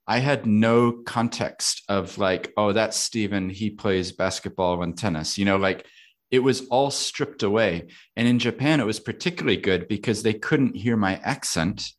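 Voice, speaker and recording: Reflective American man, low voice. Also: moderate pace. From United States. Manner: thoughtful, laughs often, asks himself questions aloud. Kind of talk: reflective casual conversation.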